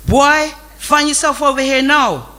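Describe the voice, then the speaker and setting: A stern Jamaican father , acted out by a male storyteller, scolds in indignant Patois. The voice is raised high and sharp, hammering each point home in storybook fashion. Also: measured pace, heavily acted.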